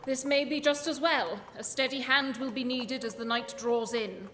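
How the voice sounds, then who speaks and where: Woman doing a posh-worded victorian detective, high voice, brisk n commanding, echoey hall. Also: a bit bright, a little hiss or hum. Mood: urgent, grave. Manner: formal, precise, commanding. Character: Victorian gentleman detective, brisk, shrewd and articulate. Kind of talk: comic radio play acting. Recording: long hall reverb.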